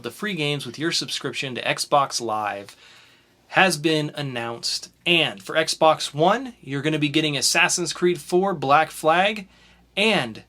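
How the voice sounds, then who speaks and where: A man co-hosting a casual podcast, opening with a hyped intro and then chatting relaxed through the news. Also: a little high. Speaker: podcast co-host.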